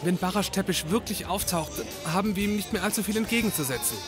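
Young male detective's assistant played by a man, high-pitched, naive and whiny, comedy audio play, music underneath. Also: fast talker, lightly acted. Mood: embarrassed, dismayed. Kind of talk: occult comedy audio drama acting.

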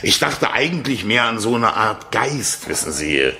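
An actor playing an eager, polite American travel businessman with a halting, hedging manner in a 1970s German radio play. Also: lowish voice, sing-song, vocal fry, sharp tone, exaggerated voice. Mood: coaxing.

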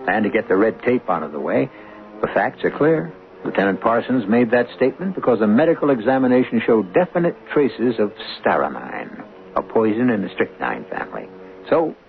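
A man hosting a radio drama with theatrical scripted narration, wry and deliberate, teasing his listeners. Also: medium pitch, very animated, gravelly, narrow, tinny audio. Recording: band-limited vintage radio over music.

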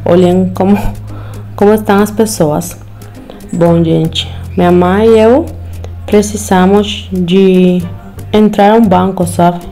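A woman's voice: mid-range voice, fairly even intonation, takes it slowly, dark tone, soft background music. Cuban woman vlogging in learner's Portuguese. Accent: Cuban Spanish. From Cuba. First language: Spanish. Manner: careful learner's Portuguese with Spanish slips. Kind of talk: casual vlog to camera. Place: small room at home, indoors.

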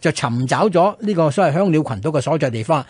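A man's voice: fairly high pitch, expressive, quick pace, darkish tone, slightly rough, no room sound. A man with a Hong Kong Cantonese accent co-hosting a radio history show, explaining conversationally and often checking in with rhetorical questions.

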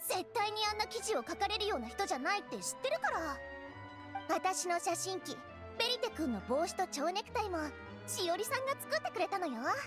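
An actress playing an earnest, righteous young female reporter in a game, speaking eagerly and emphatically. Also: very high voice. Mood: friendly, eager. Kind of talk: Japanese game voice acting.

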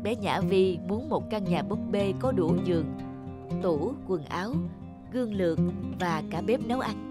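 An actress playing an adult woman in a cartoon, reading children's lists aloud item by item in a measured way. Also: mid-range voice, lively intonation, loud music behind the voice, lightly acted. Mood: reading, interested.

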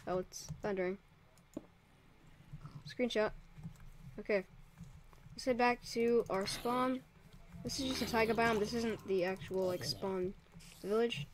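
A man's voice: high voice, steady tone, unhurried, pauses often, a little hiss or hum. Chatty young guy gaming at home. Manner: chatty, easily startled, rambling. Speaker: server owner and host. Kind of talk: casual Minecraft let's play commentary. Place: home room by a window. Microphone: desk mic, drifts off it.

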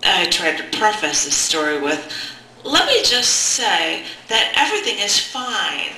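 A woman with a very bright, forceful voice reads a personal narrative aloud at an open mic. Her delivery is expressive and conversational, like storytelling. The room adds clear echo around her voice. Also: medium pitch, a little slow. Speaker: poet, open mic host. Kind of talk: spoken-word reading of a personal narrative. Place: indoor cafe open mic. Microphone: handheld or stand microphone held close. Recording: roomy, echoing live cafe sound.

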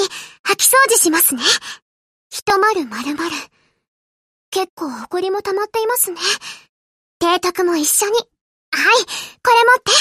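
A woman voicing a gentle, eager young ship girl in an anime game, sweet, polite and earnest, a little hesitant. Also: very high-pitched, no room sound, clean studio sound, heavily acted. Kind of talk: Japanese anime game character voice lines.

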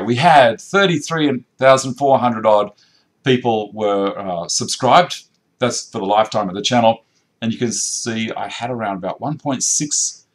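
A chatty Australian man in his fifties, talking to viewers from an indoor workshop. He restarts his sentences often and talks at an ordinary pitch. The sound comes through a webcam a little way off. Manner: chatty, animated hand gestures, frequent restarts. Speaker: woodworking channel host. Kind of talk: live-stream chat reading viewer comments. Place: indoor workshop with tool racks.

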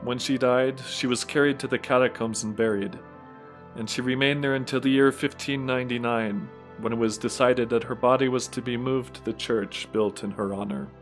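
A man's voice: medium pitch, steady tone, moderate pace. A man narrating a scripted religious audio drama as storyteller. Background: music.

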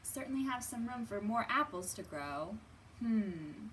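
A woman in her thirties presenting a children's storytime as a librarian, talking simply to small children with drawn-out words and thoughtful hums. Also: a little high, animated, a bit bright, a little hiss or hum. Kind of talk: children's storytime teaching, read-aloud. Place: indoors in a library.

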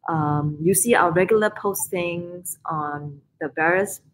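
A chatty Singaporean woman in her forties hosts a live video-call podcast with an upbeat, filler-laden flow. Her voice sits a little low, and a faint hiss hangs behind the webcam sound. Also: takes it slowly. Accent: Singaporean English. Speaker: podcast host. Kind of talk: casual live-stream chat. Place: room abroad, indoors, video call.

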